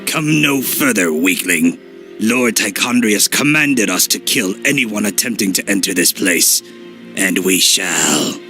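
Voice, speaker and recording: A man's voice: lowish voice, expressive, a little slow. A man voicing a menacing, sneering demonic guard in a game. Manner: threatening, sneering. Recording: distant voice over loud music.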